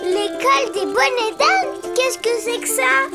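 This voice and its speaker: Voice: very high voice, expressive, measured pace, full character voice. A performer voicing an eager, curious little child in a preschool cartoon, with a simple sing-song teaching manner. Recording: music behind the voice.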